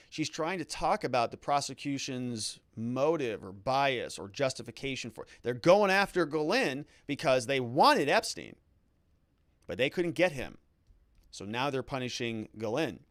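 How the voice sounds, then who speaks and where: A man's voice: mid-range voice, no room sound, clean studio sound. A man hosting a legal commentary show, recapping a trial and reading quotes aloud with emphatic, theatrical stresses.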